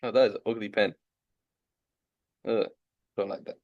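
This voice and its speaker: A young man with a Yorkshire accent teaching a live online maths lesson, coaxing his students along with steady encouragement. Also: on the low side, normal speed, lo-fi sound. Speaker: maths tutor.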